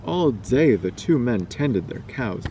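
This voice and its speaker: A man's voice: mid-range voice, lively intonation, slow pace, dark tone. A man reading a scary story aloud as a storyteller. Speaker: storyteller and vlogger. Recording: distant mic, noisy background.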